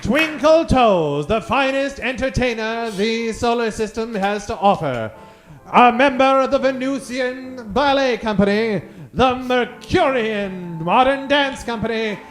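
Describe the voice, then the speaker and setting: A man's voice: very high voice, lively intonation, unhurried, a bit bright, soft background music. A man hosting a radio theatre show, delivering theatrical scripted introductions in a grandiose, mock-dramatic, declamatory old-time announcer style.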